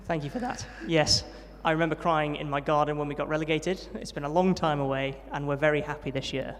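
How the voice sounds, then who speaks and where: A young man recounts his upbringing at a brisk pace and a slightly raised pitch. He is friendly and self-deprecating, with plenty of ums. His voice rings with the long reverb of a large hall. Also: fairly even intonation, slight background noise. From Yorkshire, England. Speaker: interviewee, trainee vicar. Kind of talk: testimony interview at a student event.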